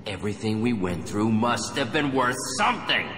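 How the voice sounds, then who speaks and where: A man's voice: fairly low pitch, animated, moderate pace, fairly bright tone, soft background music. A man playing a haunted, obsessive survivor of childhood experiments in a video game, speaking with brooding intensity. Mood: desperate. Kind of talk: video game cutscene acting. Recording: echoey hall, faint background noise.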